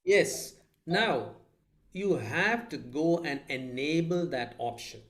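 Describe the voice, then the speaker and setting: A man moderating a video call club meeting, explaining points in a measured way with some hesitation. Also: fairly high pitch, animated. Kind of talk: online club meeting discussion.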